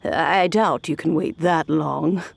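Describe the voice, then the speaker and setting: A woman playing a kindly old granny in a video game, unhurried, rambling and gently nostalgic. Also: low-pitched, creaky, background noise, full character voice. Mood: wistful. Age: older. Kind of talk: video game character acting.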